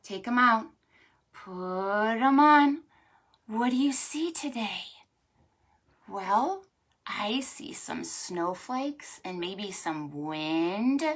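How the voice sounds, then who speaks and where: A woman hosting a storytime for preschoolers, talking to small children in an animated, sing-song way. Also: mid-range voice, extremely slow. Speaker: children's librarian and storytime host. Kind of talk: preschool storytime with stretches and songs.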